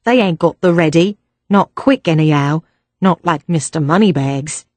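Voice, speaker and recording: A woman voicing a rough male Cockney kidnapper who talks bluntly in slang. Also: low-pitched, moderate pace, darkish tone, no echo, close to an everyday voice. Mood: gruff.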